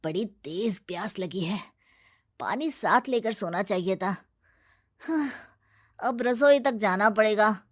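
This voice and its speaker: A woman's voice: mid-range voice, slow pace, pauses often. A woman voicing a jumpy, grumbling young man who is scared of ghosts, exclaiming and complaining in a cartoon story. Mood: sleepy grumbling. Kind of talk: animated cartoon story acting.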